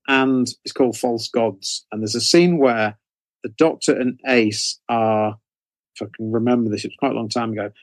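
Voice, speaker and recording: A man's voice: mid-range voice, no echo. Male author as interview guest: casual, mid-range voice, circles back and rephrases as he explains, very little room sound. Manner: hesitant, self-correcting, repeats phrases. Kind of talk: casual conversational interview answers.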